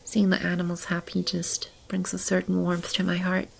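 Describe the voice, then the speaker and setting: A woman playing a caring female demon in an ASMR roleplay, speaking softly and soothingly, often close to a whisper. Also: fairly low pitch, completely flat delivery, moderate pace, a little hiss or hum, rough recording. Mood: warm, fond. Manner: soothing, tender, intimate and reassuring. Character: gentle, caring female demon sheltering animals and a lost child. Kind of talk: ASMR roleplay, soft-spoken with stretches of whispering. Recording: clearly audible ambient animal and bird sounds. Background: animal and bird ambience.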